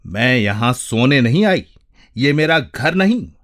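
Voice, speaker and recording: A man's voice: mid-range voice, sing-song, slow, a bit bright. A man voicing an irritable, exhausted woman with a curt, acidic manner in a dramatic story reading. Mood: angry, exasperated. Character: irritable, bitter, exhausted woman snapping at a client.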